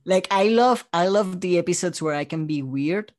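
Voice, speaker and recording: A man from Spain, chatting casually as an interview guest and telling stories in an animated way. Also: high voice, normal speed. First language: Spanish. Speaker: interview guest, audio drama creator and voice actor.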